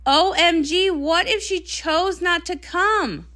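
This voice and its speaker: A woman's voice: extremely high pitch, takes it slowly, sharp tone, heavily acted. A woman voicing a perky, vain young pony princess who sings pop, with a gushing, theatrical manner in a storybook read-along. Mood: anxious.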